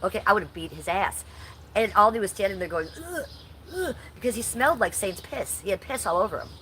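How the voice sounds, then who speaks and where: A woman's voice: medium pitch, lively intonation, a little fast, a bit bright, slight background noise. A woman from Ohio chatting casually on a livestream, stuffy and nasal from allergies, swearing freely as she walks through her backyard. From Ohio, USA. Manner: chatty, profane, reacts to chat. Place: home and backyard, outdoors.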